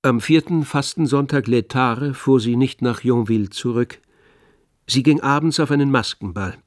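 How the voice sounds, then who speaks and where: A man narrating a literary radio play from a script in a measured, composed way. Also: mid-range voice, dry recording, clean studio sound.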